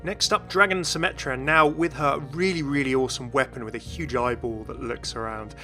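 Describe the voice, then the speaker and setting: A man's voice: mid-range voice, fairly even intonation, fairly quick, bright, forceful tone, faint music behind. A man hosting a gaming channel, giving casual, enthusiastic commentary in a voice-over.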